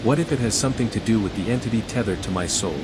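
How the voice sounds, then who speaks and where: An actor playing a dry-witted, restrained envoy, the hero of a video game, in measured dialogue. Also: male voice, lowish voice, animated, music in the background, natural voice, low-quality recording. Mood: earnest.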